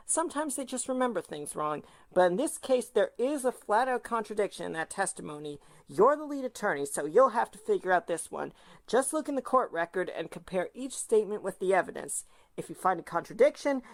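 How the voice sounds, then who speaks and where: Woman playing an earnest mentor lawyer, lower-toned. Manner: earnest, instructive. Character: brisk, patient mentor lawyer coaching a rookie. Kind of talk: amateur audio drama acting.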